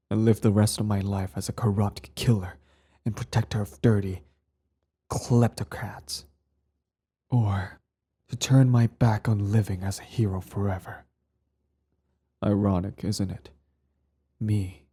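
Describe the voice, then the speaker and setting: Man acting a low, husky, brooding villain. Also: steady tone, warm tone. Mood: bitter, then wry, resolute. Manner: measured, intense, dramatic pauses. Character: cold former-hero villain, earnest, bitter, devoted to partner. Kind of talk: ASMR roleplay acting.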